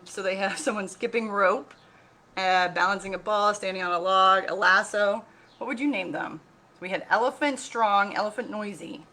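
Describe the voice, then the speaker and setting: A woman reading a picture book aloud as a teacher, expressive and instructive, often pausing to put questions to listeners. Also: mid-range voice, slight background noise. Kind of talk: read-aloud lesson for children with questions to listeners.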